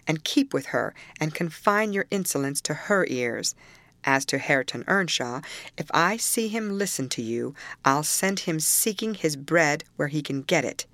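A man's voice: on the high side, animated, moderate pace, sharp tone, no room sound. A woman voicing a savage, domineering middle-aged master of the house in an audiobook, commanding and menacing. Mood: forced calm, threatening.

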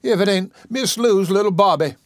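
A man voicing a bossy, motherly old Southern woman with a drawling accent, speaking in a high put-on voice. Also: expressive, no room sound, studio quality. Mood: scolding, motherly. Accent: American Southern. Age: older. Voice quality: high put-on voice, drawn-out dialect speech.

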